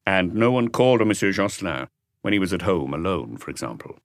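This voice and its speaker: A man voicing a male chief inspector in an audiobook, terse and deliberate as he puts probing questions. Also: deep voice, big pitch swings, no echo. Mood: measured, probing. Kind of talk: audiobook character dialogue.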